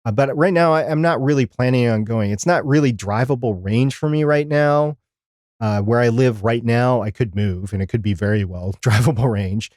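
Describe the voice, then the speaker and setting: A middle-aged man talks as a podcast co-host in an unscripted live chat. His pitch is average for a man, and his explanations wander conversationally. The sound is close and dry, with very little room. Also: moderate pace, darkish tone, dry recording.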